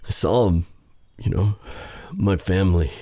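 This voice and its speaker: A man playing a brooding, bitter exiled sorcerer with a tender side in an intimate ASMR roleplay drama, with a soft, halting delivery. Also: low-pitched, no echo. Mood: pained, bitter. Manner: halting, hesitant, sighing delivery.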